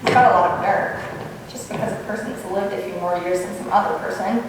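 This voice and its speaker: A woman's voice: mid-range voice, brisk, very echoey, big-room sound, lightly acted. Woman doing a wisecracking schoolmarm in a radio play, dry delivery, far from the mic, faint hiss. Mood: dry, polite. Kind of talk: old-time radio comedy acting on stage.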